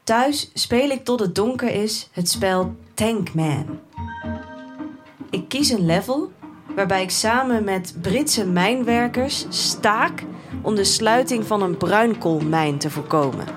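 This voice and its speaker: Music plays under a young female protester from a dystopian future. She narrates a literary radio play in the first person, with a bored, detached temper and a medium-pitched voice. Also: lively intonation, takes it slowly. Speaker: narrator of an audio drama.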